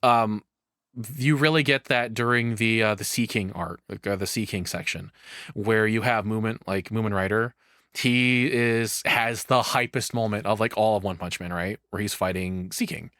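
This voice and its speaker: A man's voice: fairly low pitch, moderate pace, a bit bright, no echo, studio quality. A man giving casual reaction commentary as a streamer, rambling and swearing as he stops and restarts his thoughts.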